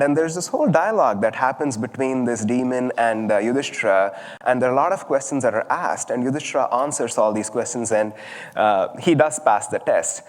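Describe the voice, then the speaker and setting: A man from southern India, a Tamil speaker, giving a thoughtful, story-driven spiritual talk with frequent fillers. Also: medium pitch. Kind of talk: reflective sermon talk. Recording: long hall reverb.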